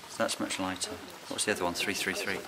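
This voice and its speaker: Low-voiced man with a quiet manner. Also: fast, a loud crowd. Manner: calm, quiet, hands-on explaining with fillers. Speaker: licensed bird ringer. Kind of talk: explaining fieldwork while handling birds. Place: open farm barn on straw, outdoors air. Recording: noisy outdoor camera recording.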